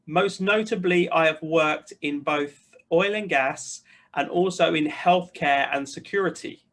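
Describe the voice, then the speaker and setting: A man's voice: on the high side, unhurried, fairly bright tone. A middle-aged man with a British accent, earnestly introducing himself and speaking directly to his listeners as a video host. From United Kingdom. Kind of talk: personal introduction to camera, semi-scripted.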